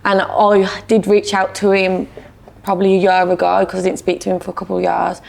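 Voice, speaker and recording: British woman guest on a podcast, pretty flat, creaky voice, talks straight. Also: mid-range voice, fairly quick. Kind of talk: personal testimony in conversation.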